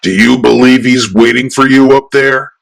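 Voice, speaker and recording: A man voicing a big, cruel, murderous Santa Claus, threatening and deliberate, in a radio play. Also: medium pitch, fairly even intonation, vocal fry, lightly acted. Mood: menacing.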